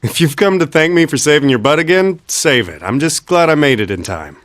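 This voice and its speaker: Blunt young anime game guy, good-hearted, casual, lively intonation, very dry recording. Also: fairly high pitch, brisk, no room sound. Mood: offhand, puzzled. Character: gruff but good-hearted young male rescuer. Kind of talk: anime game dub acting.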